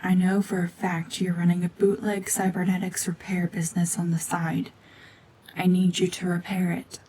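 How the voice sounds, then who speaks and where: A woman voicing a cold, mean renegade gorgon witch in a hushed, half-whispered ASMR drama, domineering and sardonic. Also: lowish voice, completely flat delivery, moderate pace, husky. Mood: cold menace.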